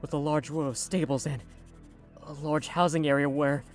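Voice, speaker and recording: An actor playing a haunted young man recounting his captivity in a hesitant, halting way. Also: fairly high pitch, fairly even intonation, faint music behind, lightly acted. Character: haunted young male witness, former captive.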